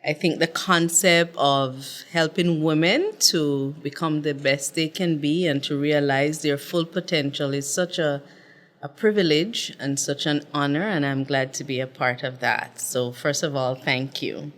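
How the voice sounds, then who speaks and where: A woman's voice: deep voice, steady tone, measured pace. A middle-aged woman who grew up in Jamaica, telling her life story earnestly as a podcast guest. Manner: earnest, reflective. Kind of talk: casual interview storytelling.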